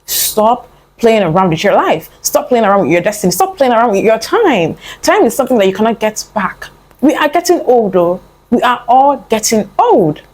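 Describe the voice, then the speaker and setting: A woman's voice: a little high, sing-song, moderate pace, darkish tone. Earnest young woman giving pep talk. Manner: earnest, coaxing, calls the listener "my love" and "honey"; rambling with frequent fillers and restarts. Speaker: motivational vlogger. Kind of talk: casual motivational talk to camera.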